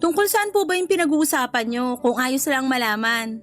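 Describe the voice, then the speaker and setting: A young Filipino daughter in a radio drama, with a very high voice. She is respectful yet easily indignant, speaking over soft music. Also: lively intonation, moderate pace. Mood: polite, curious. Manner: respectful, earnest, readily protesting. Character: polite but indignant young adult daughter. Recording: music behind the voice.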